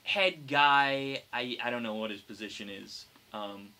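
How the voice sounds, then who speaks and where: A young man chatting casually about games as a podcast co-host, explaining things in a halting, hesitant way. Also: medium pitch, pushed and bright. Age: young adult. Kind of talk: casual gaming chat among friends. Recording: noisy hobby podcast recording.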